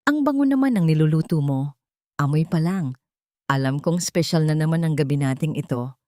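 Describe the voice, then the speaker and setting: A woman with a Filipino accent voicing a remorseful, devoted, gentle male architect in a narrated romantic story. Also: low-pitched, animated, moderate pace, dry recording, clean studio sound. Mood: warm, pleased.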